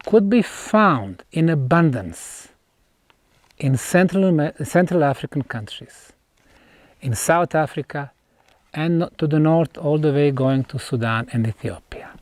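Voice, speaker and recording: A man presents in the manner of an explanatory lecture, telling it like a story. His pitch swings widely as he stresses key words, and he breaks his sentences into short phrases. The pace is very unhurried, and the sound is dry and close. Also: dry recording. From Bosnia. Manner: emphatic, storytelling, pausing between short phrases. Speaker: researcher presenting an archaeological site. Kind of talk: unscripted explanatory lecture to camera.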